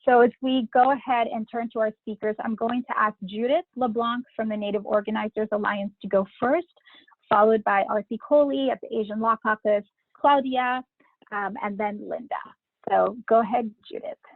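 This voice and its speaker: A woman hosting and moderating a webinar panel, speaking in a measured way with some fillers. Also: a little high, steady tone, no room sound. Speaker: host, moderator. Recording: dry, tinny phone-like line.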